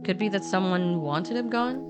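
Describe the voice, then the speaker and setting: A woman's voice: medium pitch, moderate pace, over music. A woman narrating a story conversationally, informal and adding her own comments as she goes.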